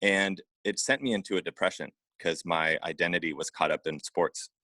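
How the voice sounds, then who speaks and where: A man with a low-pitched voice shares personal stories as an interview guest. He talks informally and haltingly, often repeating words and adding "you know." The sound is dry, with very little room around it. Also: fairly even intonation, pushed and bright, no echo. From Oregon, United States. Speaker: interview guest, acupuncturist and massage therapist. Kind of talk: casual personal storytelling in an interview.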